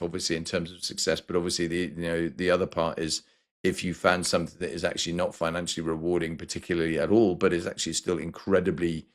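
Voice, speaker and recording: A man with a very low voice hosts an interview. He affirms his guest warmly and asks careful, gentle follow-up questions. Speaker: podcast host. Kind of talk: interview conversation.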